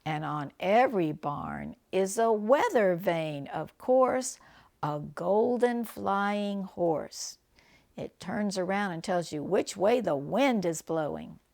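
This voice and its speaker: An older woman reading a picture book aloud to children like a grandmother, phrasing things deliberately and chatting about the pictures. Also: mid-range voice, big pitch swings, vocal fry, a little mellow. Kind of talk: read-aloud storytelling with chatty asides and animal sounds.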